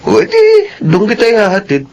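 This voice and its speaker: An actor with a Filipino accent playing an obsessed, pushy suitor, menacing yet tender, in a radio drama. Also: male voice, high-pitched, wildly swooping pitch, brisk, darkish tone, dry recording, dull audio, exaggerated voice. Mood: insistent.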